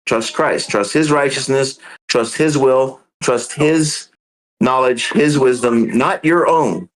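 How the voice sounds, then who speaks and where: A man hosts a casual podcast talk and works through a theological idea point by point. He speaks slowly, pausing often on ums, and his voice sits at an ordinary middle pitch. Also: low-quality recording. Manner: earnest, explains step by step with many ums. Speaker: podcast host.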